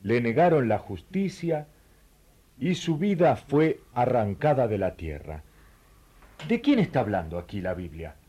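A man's voice: medium pitch, sing-song, a little slow, mellow, husky. A man playing a courteous, inquisitive royal treasurer from Ethiopia in a radio play, speaking politely and invitingly. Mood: puzzled.

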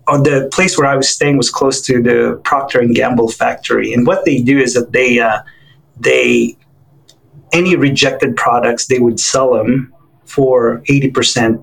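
Interview guest, man in his forties from the Philippines, mid-pitched, easygoing storyteller with fillers. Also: normal speed, vocal fry, husky, low-quality recording. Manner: relaxed storytelling with fillers and restarts.

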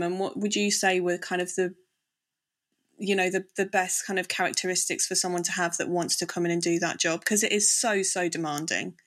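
A woman's voice: medium pitch, fairly bright tone. A woman hosting a casual podcast interview in an informal, chatty way.

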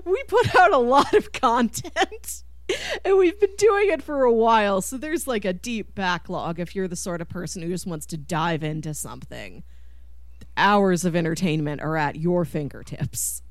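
A woman hosting a podcast, chatting casually about film in an animated, expressive way. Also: lowish voice, moderate pace, dry recording, studio quality. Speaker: podcast host.

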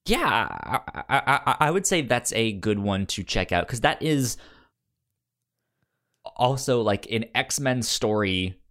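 A man chatting casually as a podcast co-host, halting, with a stammer that repeats sounds and syllables. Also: medium pitch, sing-song, a bit bright. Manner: halting, conversational. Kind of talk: casual podcast chat recommending comics.

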